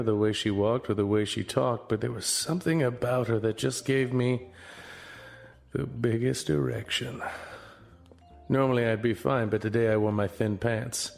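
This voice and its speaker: A man playing a crude, wisecracking noir-style hero in a comedy audio drama, delivered deadpan. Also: medium pitch, moderate pace, gravelly, faint music behind, lightly acted. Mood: deadpan musing.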